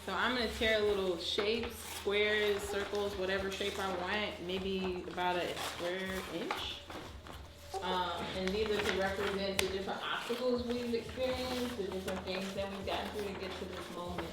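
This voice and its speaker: A woman's voice: medium pitch, fairly even intonation, moderate pace. A woman giving patient step-by-step craft instructions. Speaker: paint and sip instructor. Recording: echoey room with loud background noise.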